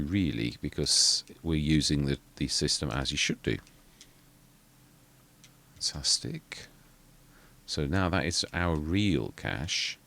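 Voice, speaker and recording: A man's voice: a bass voice, creaky. A man, rambling through casual commentary on a farming game and often correcting himself mid-sentence. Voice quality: quiet voice. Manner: rambling, self-correcting, muttering asides. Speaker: gaming channel host.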